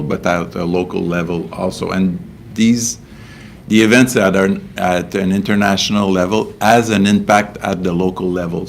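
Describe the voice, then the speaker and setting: A low-voiced Québécois man speaks as a police official at a press briefing. His voice has a gravelly creak, and his delivery is measured and hesitant. Some noise sits behind him. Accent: Québécois French. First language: French. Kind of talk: press conference answers.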